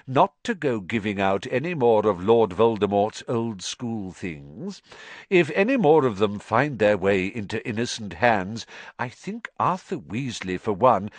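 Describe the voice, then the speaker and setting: Casting: male reader doing a calm, unhurried, wise old headmaster. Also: mid-range voice, dry recording. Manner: calm, measured.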